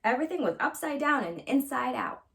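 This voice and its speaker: A woman storyteller reading a children's fairytale aloud with expressive narration. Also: sits high, a little fast, gravelly.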